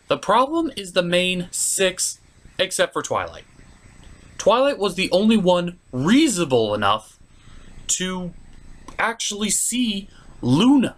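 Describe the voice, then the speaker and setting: Man ranting with a very animated voice. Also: high voice. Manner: exasperated, emphatic, sweary. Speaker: online cartoon reviewer and host. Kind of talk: ranting review commentary with profanity.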